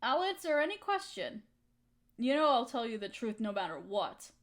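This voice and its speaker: A woman's voice: a little high, big pitch swings, moderate pace. A young woman acting a hurt, resentful friend in a dramatic audio roleplay, speaking in an emotional, accusing way. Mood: subdued, wary.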